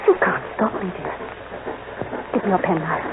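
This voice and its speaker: A woman's voice: on the low side, moderate pace, natural voice. An actress playing an anxious, devoted middle-aged mother in a 1940s radio drama, earnest, urgent and stubbornly protective of her son. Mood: resolute, tender. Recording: noisy, tinny old radio recording.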